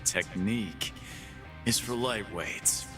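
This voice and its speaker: A man's voice: fairly low pitch, expressive, takes it slowly. An actor playing a swaggering, boastful half-beast man who runs fighting pits in a video game, with game music behind him. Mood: cocky. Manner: swaggering, boastful, tough-guy taunts. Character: cocky brawling half-beast male pit boss. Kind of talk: game character voice lines.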